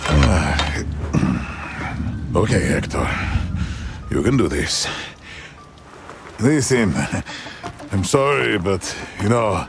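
An actor playing a bumbling, hungover frontier trapper in a game, gruff and hesitant, groaning and stammering. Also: male voice, on the low side, sing-song, very slow, pauses often, noisy background, heavily acted. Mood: flustered. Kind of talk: video game cutscene acting.

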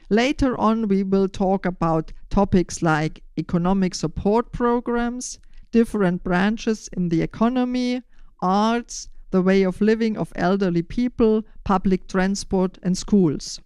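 An older woman explaining a podcast in measured, deliberate, prepared phrases. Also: mid-range voice, darkish tone, clear, resonant tone, dry recording, clean studio sound. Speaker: podcast host.

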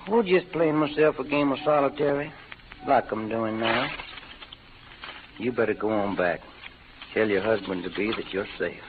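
A man's voice: fairly low pitch, moderate pace, long pauses, lightly acted. An actor playing an easygoing, modest cowboy gunman with an American Western accent and wry, slangy drawl in an old radio western. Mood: modest, gruff. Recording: tinny, noisy old radio recording.

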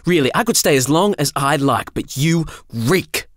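A man's voice: a little high, lively intonation, moderate pace, no echo, studio quality. A man playing a youthful, imperfect angel in an audio drama, glib, blunt and coldly sardonic. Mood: disgusted, indignant. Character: flippant, cold, youthful imperfect male angel.

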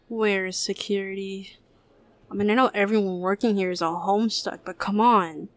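A woman voicing an apathetic fast-food cashier in a cartoon comic dub, weary and unimpressed. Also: mid-range voice, dry recording, natural voice. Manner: flat, hesitant, unimpressed.

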